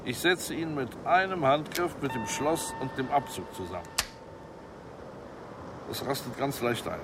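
A man's voice: medium pitch, lively intonation, unhurried, noisy background. An actor in a radio play voicing a businesslike male arms expert and professional killer, methodical and formally polite in his instructions. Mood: calm, instructing.

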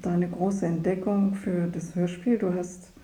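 A woman's voice: on the low side, little pitch movement, measured pace, mellow, echoey room. A woman interviewing someone in a conversational way, putting observations and questions to her guest.

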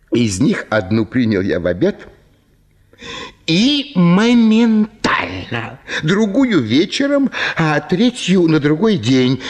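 An actor in a radio drama playing an impoverished small landowner, fawning, plaintive and gushing with tearful gratitude. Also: male voice, high-pitched, heavily acted. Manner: obsequious, plaintive, gushing, drawn-out exclamations. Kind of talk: theatrical Russian radio drama.

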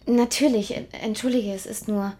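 A woman acting in a German radio drama as a weary, guilt-ridden carer, speaking with restraint and often hushed. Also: medium pitch, steady tone, normal speed, breathy edge, lightly acted. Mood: guarded. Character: weary, guilt-ridden woman caring for her dying aunt. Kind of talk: German radio drama acting, dialogue and intimate inner monologue.

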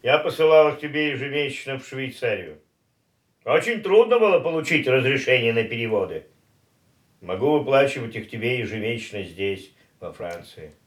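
An actor playing an elderly, thrifty, disapproving uncle in a radio play, reproachful and halting. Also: male voice, medium pitch, lively intonation, echoey room, close to an everyday voice. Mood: fretful, reproachful. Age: older.

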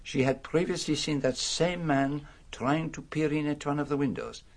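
An actor playing a shrewd, courteous detective, formal and deliberate in a radio drama. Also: male voice, fairly high pitch, fairly even intonation. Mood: probing, firm. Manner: formal, deliberate, inverted foreign phrasing. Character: shrewd, courteous foreign detective, probing and commanding man.

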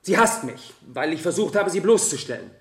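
A cornered, contemptuous male witness in a radio play. His voice sits fairly high for a man, halting and bitter, in a roomy courtroom sound. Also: sing-song. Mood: scornful, defiant. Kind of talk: 1970 German radio drama acting.

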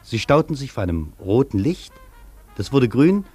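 A reflective traveller marvelling at a huge foreign city in a radio feature. He speaks in measured, poetic phrases at a middling male pitch, with faint music behind him. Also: very animated, warm tone, husky. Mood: vivid, wry. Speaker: actor reading a traveller's first-person account in a radio feature. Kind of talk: literary radio monologue, scripted narration.

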